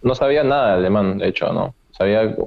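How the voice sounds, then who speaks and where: Low-voiced man on a dull lo-fi line. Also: gravelly. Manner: measured, often ends sentences with a questioning "right?". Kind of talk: casual travel chat. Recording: dull lo-fi sound.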